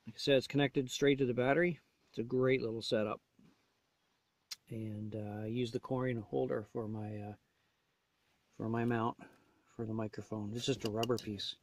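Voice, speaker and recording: A man's voice: mid-range voice, flat delivery, moderate pace, long pauses, no echo. A man casually demonstrating gear as a reviewer, talking in short, hesitant phrases. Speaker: gear reviewer showing a radio install.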